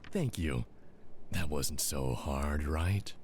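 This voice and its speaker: A man's voice: very deep voice, slow, noisy background. A man acting in an audio drama as a smug, condescending squad officer who taunts with mock sweetness. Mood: smug, taunting.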